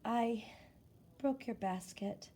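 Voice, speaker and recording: A woman's voice: a little high, slow pace, warm tone, husky. A woman voicing a determined young village woman in a children's story, sincere and earnest. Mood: confessing.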